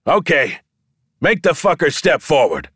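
Man playing brash showman goading a crowd, raised pitch. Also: expressive, normal speed, dry recording, studio quality, natural voice. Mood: commanding, bantering. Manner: loud, goading, declamatory calling to a crowd. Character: brash, foul-mouthed male showman hustling wagers from a crowd. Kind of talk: audiobook character dialogue.

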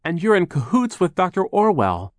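A man's voice: high voice, big pitch swings, moderate pace, no room sound, studio quality, voice pushed up. Man reading as a curt, defiant young storybook girl. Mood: accusing. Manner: curt, indignant, insistent.

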